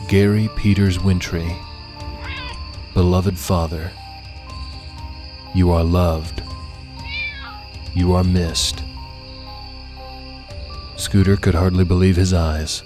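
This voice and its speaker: A man reading scripted fiction as an audiobook narrator, in a measured, suspenseful storytelling manner. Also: low voice, flat delivery, music in the background.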